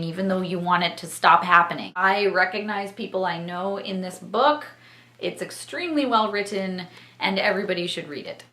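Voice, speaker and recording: A woman's voice: medium pitch, sharp tone, roomy sound. A woman hosting a vlog of book reviews, talking and reading aloud in an exuberant, theatrical way. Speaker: book-review vlogger and host.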